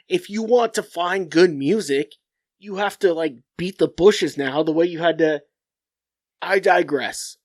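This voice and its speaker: A nasal, stuffed-up young man hosts a solo podcast, talking in a slightly high voice at an unhurried, drawn-out pace. He is blunt and profane, circling back and restarting his thoughts. The sound is close and completely dry. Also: no room sound. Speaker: podcast host.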